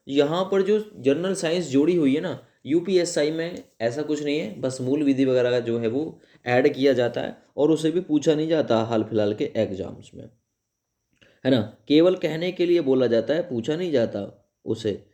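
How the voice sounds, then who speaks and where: A man's voice: mid-range voice, dark tone. A man explaining informally to students as a coach, repeating phrases for emphasis and often adding check-in tags. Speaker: exam coaching YouTuber.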